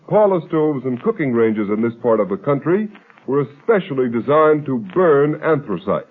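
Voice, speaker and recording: Casting: male 1930s ad announcer, husky, lively pitch swings, emphatic formal sell, narrow old radio sound. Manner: emphatic, formal salesman delivery. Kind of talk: 1930s radio advertising read. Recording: tinny old radio recording.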